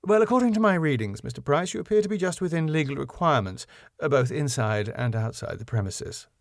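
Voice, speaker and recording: A man's voice: on the high side, animated, fairly quick, vocal fry, no room sound, studio quality, pitched above the performer's own voice. A man voicing a stern female council inspector in a sitcom, formal, clipped and pompous. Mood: officious. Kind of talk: sitcom script read aloud.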